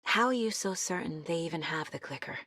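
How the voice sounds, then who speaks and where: A driven, analytical female investigator in a dramatic video game. Her voice is low and husky, with terse, measured phrasing, as if reasoning through a case. The recording is very dry, with no room around the voice. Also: animated, sharp tone, no echo. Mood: conversational, probing. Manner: measured, terse, pensive deduction. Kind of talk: dramatic game dialogue, introspective investigation lines.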